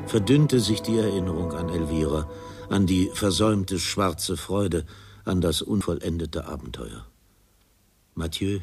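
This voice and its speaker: An actor as the narrator of a literary radio drama, grave and deliberate in delivery. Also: male voice, deep voice, gravelly, darkish tone, heavily acted. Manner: solemn, deliberate.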